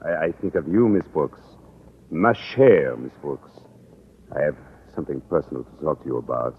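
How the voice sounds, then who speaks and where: An amorous middle-aged Frenchman with a French accent who speaks in broken, courtly English. His voice is very deep and swoops widely. It comes through a tinny old radio broadcast with faint hiss. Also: animated, normal speed, husky, exaggerated voice. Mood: earnest. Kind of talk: 1950s radio sitcom acting.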